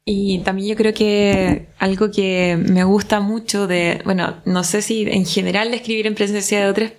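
A woman from southern Chile with a Chilean accent, speaking thoughtfully and reading her own poem aloud in a writing workshop. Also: medium pitch. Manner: thoughtful, unhurried, with pauses. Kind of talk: reflective talk and poetry reading.

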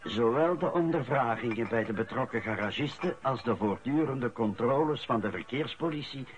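A man's voice: mid-range voice, lively intonation, normal speed, muffled recording, close to an everyday voice. A Flemish actor playing a wry man at home over breakfast and the newspaper in a radio drama. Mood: measured reading.

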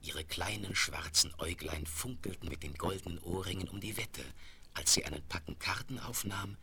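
An actor playing a calm storytelling narrator in a radio play, reading descriptive scripted prose. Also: male voice, sits low, moderate pace, a crowd in the background. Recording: noisy 1970s vinyl radio play.

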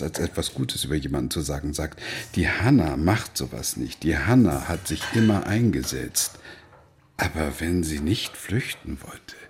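A man's voice: low voice, darkish tone, slight background noise. A man acting as a sardonic older drinker in a German radio drama, needling and theatrical in his delivery. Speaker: actor.

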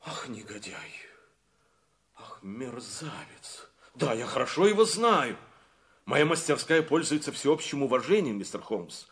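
A man's voice: mid-range voice, big pitch swings, unhurried, a bit bright. A man acting an indignant, respectable workshop owner in a Russian audio play, speaking emphatically and repeating words.

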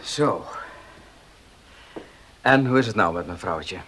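Proud farmer husband, radio play, very animated pitch, husky voice, emphatic, domineering. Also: male voice, slow pace, heavily acted. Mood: jovial, assertive. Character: proud, domineering gentleman farmer, loving but stubborn husband. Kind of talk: Dutch radio play acting.

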